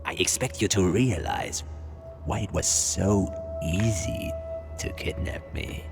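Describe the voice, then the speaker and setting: A man playing a smug, sardonic, dying prisoner of war in a video game, mocking and halting in his delivery. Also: sits low, gravelly, over music, full character voice. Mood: wry, bitter. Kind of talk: cinematic video game acting.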